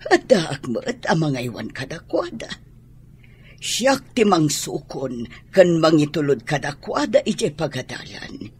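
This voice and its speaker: An actress voicing a gentle older mother-in-law in a radio drama, slow and coaxing, with a husky voice. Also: deep voice, very animated, full character voice. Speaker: grandmother character. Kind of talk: Ilocano radio drama acting.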